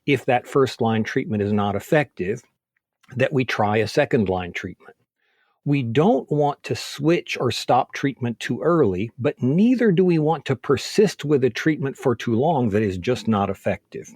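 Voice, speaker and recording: A man speaking as a physician in an interview, explaining things methodically in measured, orderly points. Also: medium pitch, lively intonation, darkish tone, no echo, clean studio sound. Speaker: physician, expert interview guest.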